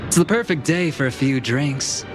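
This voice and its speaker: An actor voicing a cocky, carefree gambler with a playful, teasing manner, in a game scene with loud music behind him. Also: male voice, mid-range voice, expressive, normal speed, close to an everyday voice. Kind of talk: game voice acting.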